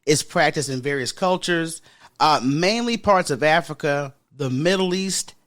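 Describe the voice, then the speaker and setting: A woman with a deep voice hosting a podcast in casual solo chat, frank and sassy, talking straight to her listeners. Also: unhurried. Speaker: podcast host.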